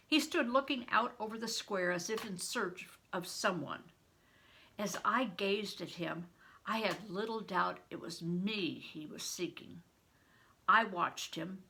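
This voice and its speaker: An older woman reading a novel aloud as a storyteller for children, with deliberate, dramatic phrasing and stretched emphasis. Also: mid-range voice, vocal fry, bright, forceful tone, breathy edge. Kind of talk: expressive read-aloud storytelling.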